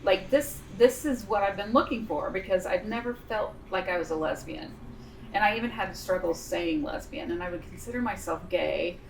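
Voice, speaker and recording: Low-voiced speaker in their 50s, gravelly, reflective, mic a bit far in a roomy space. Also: female voice, moderate pace, a little hiss or hum. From Missouri, USA. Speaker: interviewee. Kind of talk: documentary interview.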